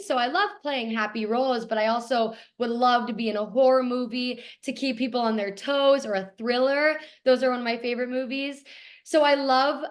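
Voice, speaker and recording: A young woman from Toronto, Canada, with a raspy voice, talking eagerly as a guest in a casual interview. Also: fairly high pitch. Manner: eager, gushing, repeats how much she loves things. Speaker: guest actor.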